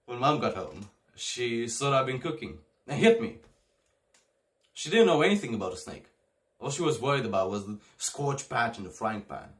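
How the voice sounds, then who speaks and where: A man performing a theatrical monologue as a disturbed, childlike young man, halting and swinging between hushed and excited. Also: mid-range voice, lightly acted. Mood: gleeful, morbid.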